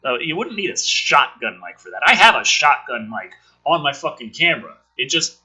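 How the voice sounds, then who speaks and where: A man's voice: medium pitch, sing-song, normal speed, sharp tone, breathy edge. A man sarcastically reading through a film script and commenting on it in a profane, mocking, theatrical way. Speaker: host of a script review show.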